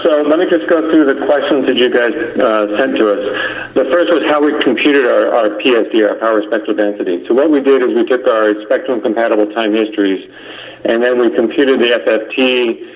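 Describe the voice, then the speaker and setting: A man methodically explaining technical material on a conference call. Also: mid-range voice. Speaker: engineering presenter. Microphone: conference call line. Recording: dull lo-fi conference phone call.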